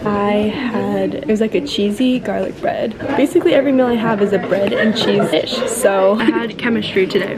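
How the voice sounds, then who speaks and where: A woman's voice: medium pitch, normal speed, pauses often, a crowd in the background. A young woman, a friend of the vlogger, talking playfully on camera in a campus building. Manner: playful, animated, laughing.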